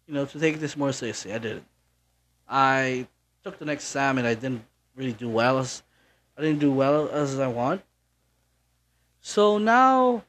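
A man's voice: medium pitch, slight background noise. A young man from the Philippines hosting his own podcast in casual solo chat, rambling with many fillers and restarts. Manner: offhand, mildly profane. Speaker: podcast host.

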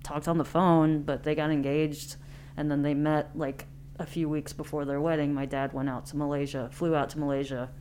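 A woman's voice: deep voice, level tone, normal speed, a little mellow, slight background noise. An American woman in her late thirties, chatting casually and thinking aloud as an interview guest. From Independence, Missouri, United States. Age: late 30s. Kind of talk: casual chat, personal storytelling.

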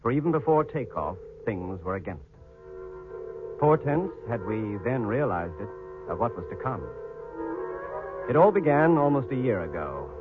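An actor playing a solemn, weary astronaut who narrates his expedition journal in a measured, formal 1950s radio drama style. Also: medium pitch, sing-song. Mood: grave. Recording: vintage 1950s radio, narrow band, music behind.

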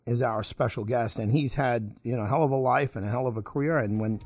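A man in his forties hosting a radio interview, brash, with excitable interjections. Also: mid-range voice, quick pace, dry recording, studio quality. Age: 40s. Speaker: radio talk show host.

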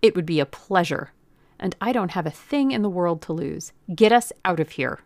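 Audiobook hero at breaking point: blunt, threatening man acted by a woman, gravelly edge, dry recording. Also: fairly low pitch, lively intonation, a little fast, no room sound. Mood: enraged. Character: desperate, defiant armed man pushed to breaking point. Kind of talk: audiobook character acting.

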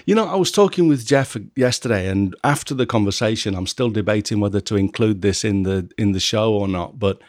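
A man from England, hosting a podcast in casual conversation, who often pauses and restarts as he searches for words. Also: lowish voice, no echo, clean studio sound. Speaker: podcast host.

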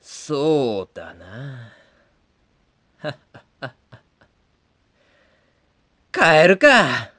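A man playing a blunt, rough-spoken middle-aged adventurer in a comedic audio drama. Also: on the high side, huge pitch swings, very slow, long pauses, pushed and bright, no room sound, heavily acted. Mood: resigned.

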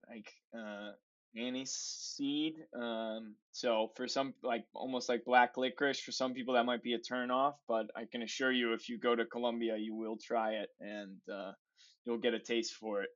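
A man in his twenties with an American accent, chatting casually and haltingly as a podcast guest. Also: medium pitch, little pitch movement. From San Francisco, USA. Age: 20s. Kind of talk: casual travel chat. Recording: dull, lo-fi voice capture.

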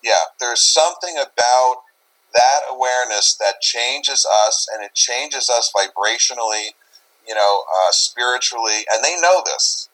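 A man's voice: mid-range voice, lively intonation, unhurried, sharp tone, low-quality recording. A man talking casually in an interview, rambling and circling back over his phrases as he explains. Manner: rambling, repeats phrases, often says "you know". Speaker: interview guest, paranormal investigator.

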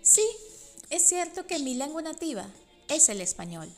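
A woman's voice: sits high, sing-song, faint music behind. Reflective Venezuelan woman in her forties. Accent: Venezuelan Spanish. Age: 40s. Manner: reflective, measured, with soft laughs. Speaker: podcast host and writer. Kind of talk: reading a personal essay.

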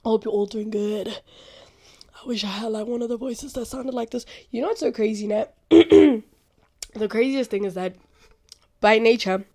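A young woman hosting a podcast, talking about faith in a chatty, rambling way full of fillers, with a naturally deep voice. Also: normal speed, pauses often, dark tone. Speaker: podcast host. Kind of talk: casual faith talk.

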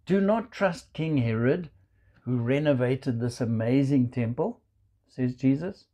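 Slow South African preacher. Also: male voice, mid-range voice, mellow. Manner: measured, deliberate preaching with scripture quotes. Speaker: pastor. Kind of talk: sermon.

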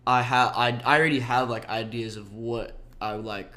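Young male musician, soft-spoken and slangy, relaxed casual chat. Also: medium pitch, normal speed. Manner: laid-back slangy talk, lots of "like" and "bro". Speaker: musician talking about his EP.

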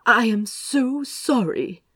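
A woman voicing a flustered, anxious gentleman who babbles breathless apologies. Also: on the high side, animated, slow pace, breathy edge, no room sound, clean studio sound, pitched above the performer's own voice, full character voice. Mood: shaky, polite.

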